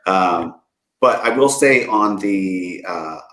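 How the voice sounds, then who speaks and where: Low-voiced man in an echoey room. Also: expressive, takes it slowly, fairly bright tone. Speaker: panel guest. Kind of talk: casual tech chat on a call.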